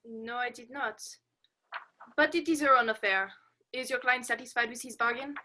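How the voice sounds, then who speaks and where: A woman's voice: a little high, moderate pace, sharp tone, slight background noise. A woman playing a haughty, stern French police inspector in a radio play, formal and indignant. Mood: cool.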